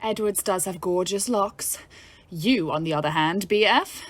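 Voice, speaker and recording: An actress playing a brash, bawdy young ambulance driver, fearless and sardonic, in a period audio play. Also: female voice, mid-range voice, measured pace, a little hiss or hum, lightly acted. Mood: teasing. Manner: swaggering, sardonic, theatrical. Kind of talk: period audio drama acting.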